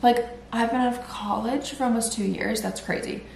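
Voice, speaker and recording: A woman's voice: on the high side, fairly even intonation, moderate pace, gravelly, a little mellow, reverberant, slight background noise. Congested nasal woman in her twenties. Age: 20s. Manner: chatty, rambling, lots of "like". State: recovering from illness. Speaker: podcast host. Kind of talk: casual solo podcast chat.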